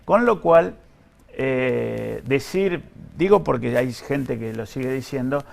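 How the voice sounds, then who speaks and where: A man's voice: medium pitch, takes it slowly, vocal fry. An Argentine man answering in an interview as an official, explaining in a hesitant way and often repeating himself. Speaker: guest, pension fund director.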